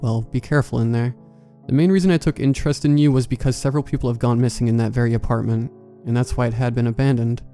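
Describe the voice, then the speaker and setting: A man reads out a kindly woman neighbor in a horror story, her voice mellow and low-key as she passes on a hushed warning. She sounds cautious and worried, speaking at an ordinary middle pitch. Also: fairly even intonation, quick pace, soft background music, lightly acted.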